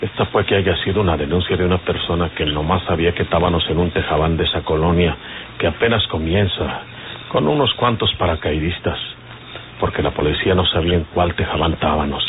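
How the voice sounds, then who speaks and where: Man playing a deep-voiced Mexican outlaw on the run. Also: fairly quick, lightly acted. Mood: tense, explaining. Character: wary male outlaw on the run from police. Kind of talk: old Mexican radio serial acting. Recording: noisy, tinny old radio serial recording.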